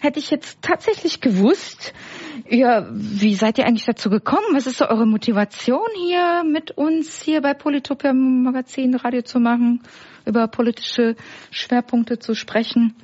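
A woman's voice: fairly high pitch, moderate pace, darkish tone, slightly rough. A woman hosting a community radio show in a studio, talking casually with many fillers. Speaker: radio host. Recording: dull lo-fi radio sound.

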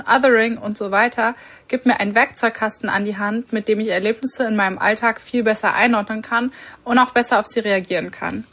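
A woman's voice: medium pitch, a bit bright, slightly rough. A woman giving an earnest, reflective personal statement.

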